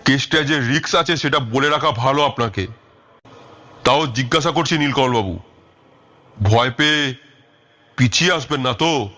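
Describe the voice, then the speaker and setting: An actor playing a brooding, resolute detective in an audio story, speaking in a deliberate, dramatic way. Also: male voice, mid-range voice, sharp tone, lightly acted. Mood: probing. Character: brooding, resolute male detective, shrewd leader. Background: music.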